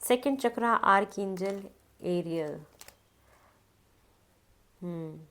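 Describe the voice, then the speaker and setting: A woman's voice: mid-range voice, lively intonation, extremely slow, fairly bright tone. A woman with a cold and a blocked nose, giving a casual tarot reading to the camera. She talks in a chatty way, repeats her phrases and chants between the cards. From North India. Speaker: tarot card reader.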